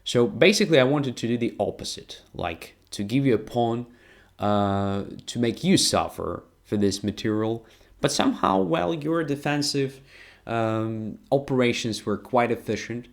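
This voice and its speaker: A man with a Ukrainian accent commentating live on chess, thinking aloud in short, halting phrases. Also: medium pitch, expressive, gravelly. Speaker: chess streamer and international master. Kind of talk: live game commentary and analysis.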